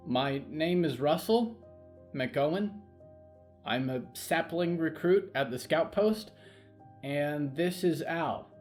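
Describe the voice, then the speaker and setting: A man's voice: a little high, slow, darkish tone, faint music behind, voice pushed up. A man voicing a grumbling, anxious young boy who is a scout recruit, in a sighing, reluctant way. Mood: wary. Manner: sighing, grunting.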